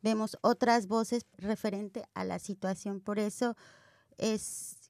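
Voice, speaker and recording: A woman guest on a radio interview, explaining in a steady, explanatory way. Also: medium pitch, slow, dry recording, studio quality. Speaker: theatre guest.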